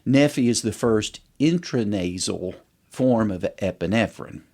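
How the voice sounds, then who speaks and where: A middle-aged man with a fairly low voice talks as a doctor being interviewed. He explains medical points in a conversational way, often walking through examples in plain first person. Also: expressive, unhurried, dark tone. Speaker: allergist, podcast guest.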